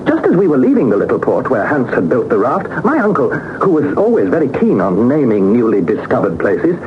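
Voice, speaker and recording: An actor playing an earnest young man who narrates his expedition in measured, literary style for a 1960s radio drama. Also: medium pitch, wildly swooping pitch. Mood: solemn wonder. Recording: noisy phone-like old 1960s radio recording.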